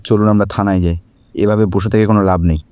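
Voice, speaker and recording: A man playing a steady, supportive young friend in a Bengali audio drama. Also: on the low side, quick pace, clear, resonant tone, dry recording, close to an everyday voice. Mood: concerned.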